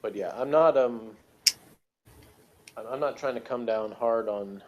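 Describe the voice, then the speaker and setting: A man's voice: medium pitch, measured pace, background noise. A man chatting casually as co-host of a review show, explaining himself in a hesitant, self-qualifying way. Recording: slightly dull, lo-fi sound.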